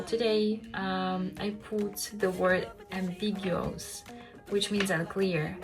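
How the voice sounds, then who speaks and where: A young woman chats casually to camera, sharing learning tips with frequent fillers. Her pitch sits in a middle range. Background music plays clearly underneath her voice. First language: Russian. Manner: chatty, many fillers, speaks to viewer directly. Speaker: language-learning vlogger.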